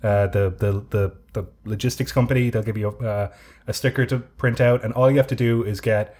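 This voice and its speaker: Low-voiced man telling a long story. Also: fairly even intonation, fairly quick, a little mellow, breathy edge. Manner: rambling storyteller with frequent restarts. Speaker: podcast co-host. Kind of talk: casual chat.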